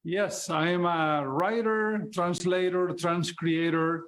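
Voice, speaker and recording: A man's voice: high voice, fairly even intonation, slow, fairly bright tone, a little hiss or hum. A man from Argentina with an Argentine accent, a poet and translator speaking and reading poems at an online open mic. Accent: Argentine Spanish.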